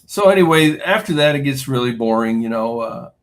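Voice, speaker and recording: A man's voice: medium pitch, animated, a little fast. A man co-hosting a podcast, chatting casually about football, laughing often and trailing off mid-thought. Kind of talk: casual sports chat.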